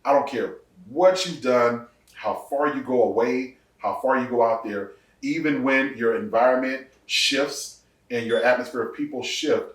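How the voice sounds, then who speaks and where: A man in his forties, a pastor, telling his testimony in a casual podcast interview, laughing often and jumping up in pitch for punchlines. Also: unhurried, far from the mic. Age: 40s. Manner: animated storyteller, laughs often, rises sharply for punchlines. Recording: echoey room, voice off-mic.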